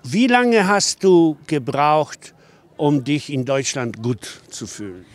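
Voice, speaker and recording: A man's voice: a little high, animated, slow pace. A man in his fifties, a host asking people short friendly questions outdoors with a handheld mic. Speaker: street interviewer, co-host. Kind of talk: street interview questions. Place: outdoors in a park.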